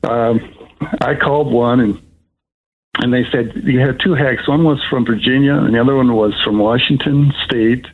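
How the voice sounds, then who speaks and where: An older man, sixties or above, giving an unscripted, rambling personal account as a caller, in a halting way. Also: mid-range voice, steady tone, narrow, tinny audio. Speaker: caller telling of being scammed.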